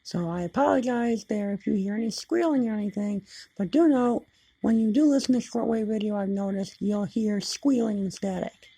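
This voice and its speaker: A woman hosting a hobby video, explaining things casually in a husky, gravelly voice with an apologetic manner. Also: medium pitch, normal speed, warm tone, no echo, low-quality recording. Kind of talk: casual demonstration narration.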